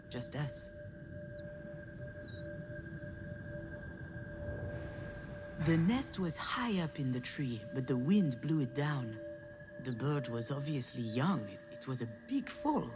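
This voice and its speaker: An actress voicing a reflective, wistful woman who recalls her childhood in a conversational way, in a visual novel game. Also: deep voice. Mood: wistful reminiscing. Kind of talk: visual novel voice acting, reminiscing narration.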